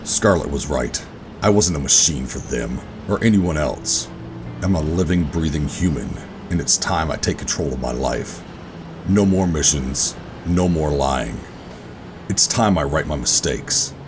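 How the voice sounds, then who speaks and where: A man playing a weary rogue operative, gruff but tender, narrating a sci-fi audio drama in a brooding, deliberate way. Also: very deep voice. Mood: brooding, resolute. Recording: music bed under the voice. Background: dramatic music.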